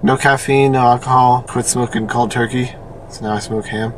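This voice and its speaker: A man's voice: medium pitch, steady tone, moderate pace. A sleepy man chatting casually for a vlog, rambling along with dry humor. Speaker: vlogger. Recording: noisy car ambience, distant mic.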